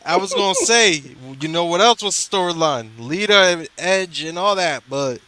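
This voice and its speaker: A man's voice: sits high, lively intonation, normal speed, sharp tone. A man co-hosting a wrestling podcast, making his points in an earnest, explanatory way. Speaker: podcast co-host. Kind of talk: casual wrestling chat.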